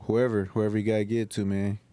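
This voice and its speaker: A man's voice: lowish voice, flat delivery, fast talker, mellow. A man co-hosting a podcast in casual, slangy, profane chat. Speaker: podcast co-host.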